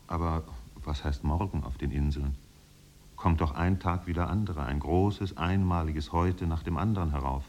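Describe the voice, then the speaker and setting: An actor narrating a 1950s German radio play as a solemn, contemplative storyteller with measured, poetic delivery. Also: male voice, a bass voice, a little mellow. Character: solemn, contemplative male storyteller of island exiles. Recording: faintly noisy 1950s radio recording.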